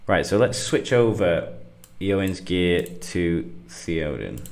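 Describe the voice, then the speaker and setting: Low-voiced middle-aged man, slightly sniffly. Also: big pitch swings, gravelly. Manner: halting, thinking aloud with many fillers. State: getting over a cold, slightly sniffly. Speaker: gaming livestream host. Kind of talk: casual gameplay commentary.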